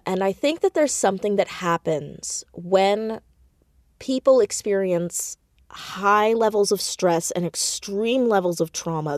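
A woman's voice: mid-range voice, a little mellow, no echo, clean studio sound. A woman chatting casually and expressively as an interview guest, a creative who talks about her own work with self-mocking asides. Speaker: audio drama creator and voice actor, interview guest. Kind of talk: casual, animated interview chat.

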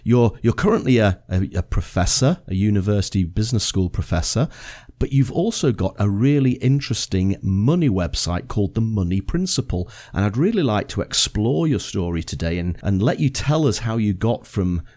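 A man's voice: fairly low pitch, lively intonation, moderate pace, darkish tone. A man in his fifties with a northern English accent, hosting an interview podcast over a video call and chatting easily, often repeating words as he finds his phrasing. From England. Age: 50s. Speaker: podcast host.

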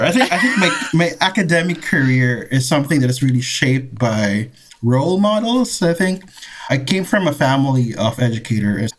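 A man in his thirties, from the Philippines, speaks as a podcast guest. He talks in a friendly, unhurried way, pausing and restarting his thoughts now and then, and his pitch sits at an average level. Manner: friendly, hesitant with restarts and laughs. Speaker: guest, professor. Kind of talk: casual interview answers.

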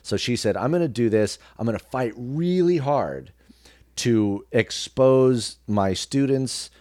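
A man's voice: mid-range voice, animated, takes it slowly, darkish tone, no echo, clean studio sound. A man telling stories casually as an interview guest, informal and reflective. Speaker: interview guest, radio personality and actor. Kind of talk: casual conversational storytelling.

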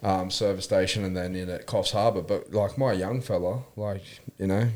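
Young Aussie host, lower voice, slangy, laughs through his stories. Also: steady tone, normal speed, darkish tone. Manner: laughs often while telling stories, slangy. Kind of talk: casual chat.

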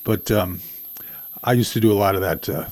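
An older man guesting on a radio show as a baseball historian, answering in a measured way with frequent ums. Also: lowish voice, a little mellow, dry recording. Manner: measured, many ums and uhs.

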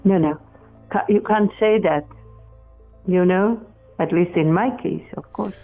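A speaker answers questions slowly, stumbling and restarting as they search for words. The audio is thin and phone-like. Faint music sits underneath. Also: mid-range voice. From Portugal. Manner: halting, many restarts and self-corrections. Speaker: experimenter in spirit communication. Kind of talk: interview answers.

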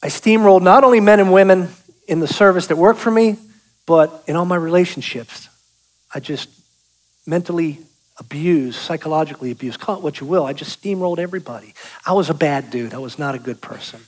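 A man's voice: on the high side, a little fast, rough recording. A man, giving a folksy, joking testimony sermon and calling out to the congregation. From South Boston, US. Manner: folksy, joking, calls out to the audience. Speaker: guest speaker giving testimony.

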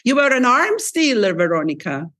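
A woman's voice: on the high side, sing-song, fairly bright tone. Effusive woman hosting an interview. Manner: effusive, quick to praise and react. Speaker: podcast host. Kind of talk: interview host. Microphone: laptop video call.

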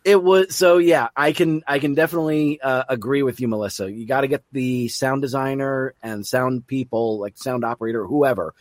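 A man's voice: medium pitch, fairly even intonation, moderate pace, hardly any pauses, dry recording. A man hosting an interview in casual conversation, chatty and rambling, with a self-deprecating streak. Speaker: interview host and audio drama producer.

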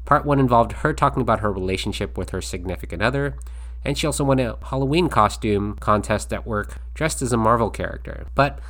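A man hosting a casual podcast, animated and quick to laugh as he talks. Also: mid-range voice. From United States. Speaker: podcast host.

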